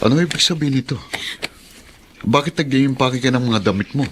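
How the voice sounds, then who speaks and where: An actor with a Filipino accent playing a jealous, demanding young husband in a radio drama. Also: male voice, medium pitch, a little fast, a bit bright, slight background noise, lightly acted. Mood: alarmed, demanding. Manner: demanding, questioning. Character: jealous young husband, confronting his departing wife.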